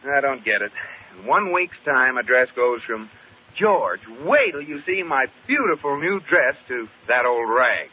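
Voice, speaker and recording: An actor playing a wry, teasing, mildly exasperated young husband in a 1940s radio sitcom. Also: male voice, medium pitch, sing-song, unhurried. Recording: muffled, noisy 1940s radio recording.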